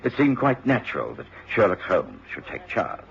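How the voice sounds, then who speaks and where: An actor playing a hearty, mild middle-aged English doctor, a detective's companion, in a radio drama. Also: male voice, fairly low pitch, big pitch swings, normal speed, vocal fry, fairly bright tone, full character voice. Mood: grave narration. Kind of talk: radio drama acting and narration. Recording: dull old radio recording with music.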